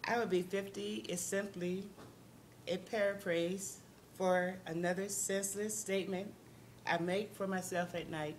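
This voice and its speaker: Calm woman reading a memoir passage. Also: mid-range voice, unhurried, a little hiss or hum. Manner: reflective, calm first-person reading.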